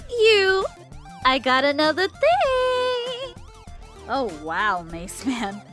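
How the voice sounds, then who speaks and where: Excitable high-pitched young inventor. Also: female voice, unhurried, loud music behind the voice. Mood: eager, playful. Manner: gushing, slangy, excitable. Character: eager, eccentric young female inventor. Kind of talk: animated comedy voice acting.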